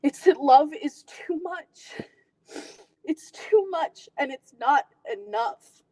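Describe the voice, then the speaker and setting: A woman performing a dramatic monologue as a wounded, bitter grown daughter confronting her mother, emotional and pausing deliberately. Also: high voice, mellow, pitched above the performer's own voice, poor audio. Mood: tearful, anguished. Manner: emotional, tearful, deliberate pauses.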